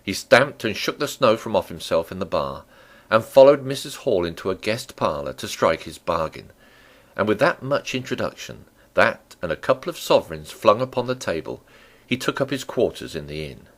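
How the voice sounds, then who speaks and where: A man performs dramatic audiobook narration in a fairly low voice. His pitch moves widely, and his phrasing is theatrical and deliberate. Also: animated, fairly bright tone.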